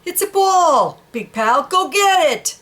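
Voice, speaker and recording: A woman reading a children's picture book, voicing a big, vain, condescending horse with teasing, drawn-out baby talk. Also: very high voice, lively intonation, a bit bright, voice pushed up, full character voice. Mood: playful, smug.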